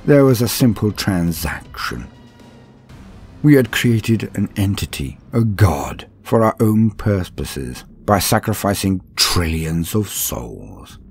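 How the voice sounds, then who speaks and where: A man voicing a cruel, proud alien torturer-sorcerer in a dramatic audiobook reading, speaking with slow, gloating menace and whispered edges. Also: fairly low pitch, sing-song, gravelly, soft background music, exaggerated voice.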